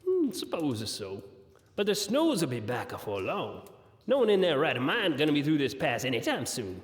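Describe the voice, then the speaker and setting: An actor playing an earnest, cryptic rustic mentor of magic in a fantasy audio drama, musing in folksy dialect. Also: male voice, fairly high pitch, wildly swooping pitch, moderate pace. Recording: roomy, long echo.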